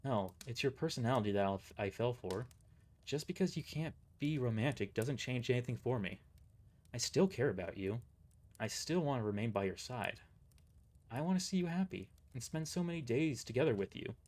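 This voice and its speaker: A man voicing a gentle, devoted friend in love for an audio drama, earnest and a little hesitant. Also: mid-range voice, expressive, normal speed, close to an everyday voice. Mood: tender, earnest. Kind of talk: amateur audio drama dialogue.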